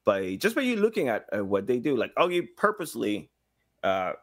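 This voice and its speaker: A man in his thirties talks casually as a guest on a podcast. His pitch swings widely as he tells stories, and he slips into acting out short quotes. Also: a little fast. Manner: animated, acting out little quotes. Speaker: podcast guest, game studio engagement director and sound designer. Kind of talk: casual conversational storytelling. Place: small home room with guitars.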